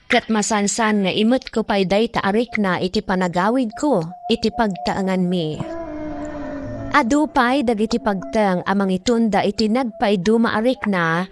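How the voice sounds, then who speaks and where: A woman's voice: mid-range voice, moderate pace, close to an everyday voice. A woman with a Filipino accent acting as a weary, regretful medic telling her own story in a radio drama. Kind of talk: dramatic first-person radio drama narration. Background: music.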